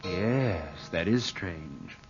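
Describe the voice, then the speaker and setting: An actor playing a coldly scheming, murderous nephew in an old-time radio drama, speaking with careful deliberation. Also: male voice, low voice, expressive, music in the background, exaggerated voice. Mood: guarded. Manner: slow, deliberate, hushed narration and hesitant dialogue. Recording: muffled vintage radio recording with faint noise.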